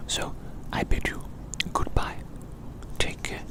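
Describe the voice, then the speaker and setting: Softly whispering ASMR roleplayer. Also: takes it slowly. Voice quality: breathy unvoiced whisper. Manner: gentle, repeats phrases softly. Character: kindly, curious adventurer fond of magical little creatures. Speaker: ASMR performer.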